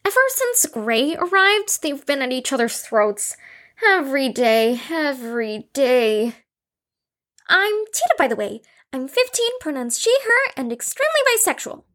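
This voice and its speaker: A woman's voice: sits high, big pitch swings, measured pace, a bit bright, heavily acted. A woman playing a chatty, eager, slightly flustered fifteen-year-old girl in an audio drama. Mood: apologetic, friendly.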